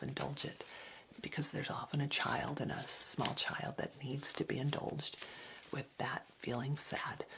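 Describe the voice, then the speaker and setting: A woman giving an ASMR card reading in a soft, breathy whisper, with a gentle, reflective, unhurried manner. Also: sits low. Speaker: card reader.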